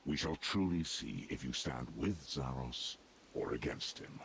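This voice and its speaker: An actor playing a solemn, devout ancient servant of a dark god in a fantasy video game, speaking formally and gravely. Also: male voice, lively intonation, noisy background, heavily acted. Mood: solemn, persuasive. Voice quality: very deep, gravelly. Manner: formal, grave, measured and declarative. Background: music.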